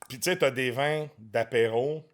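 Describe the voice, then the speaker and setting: A man's voice: mid-range voice, moderate pace. A man in his forties with a Quebec French accent, born in France, chatting casually as a podcast guest, swearing freely and stumbling over repeated words. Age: 40s. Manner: laid-back, swears a lot, stammers on repeated words.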